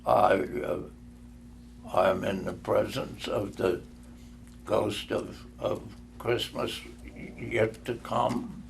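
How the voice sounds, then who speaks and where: A man's voice: lowish voice, steady tone, creaky, slight background noise, full character voice. A man voicing a frightened, humbled old miser in a storybook reading, pleading and stammering. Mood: fearful, halting. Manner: halting, stammering.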